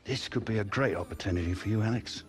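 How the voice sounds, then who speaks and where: A man's voice: fairly low pitch, fast talker, lightly acted. An actor playing a supportive, down-to-earth middle-aged British father in casual dialogue. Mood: encouraging. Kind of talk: casual dialogue in game cutscene.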